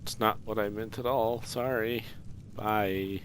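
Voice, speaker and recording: Male let's play host: deep voice, casual, understated, clear game ambience behind. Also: steady tone, slow pace, slight background noise. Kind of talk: casual gameplay commentary. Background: video game ambience.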